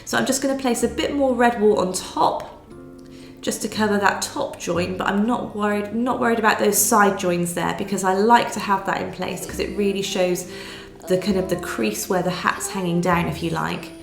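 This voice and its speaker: Brief: woman, craft tutor with a cold, nasal and croaky, mid pitch, roomy recording with faint music underneath. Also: normal speed. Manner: patient step-by-step explaining with fillers. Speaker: needle felting instructor. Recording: roomy sound with soft music behind.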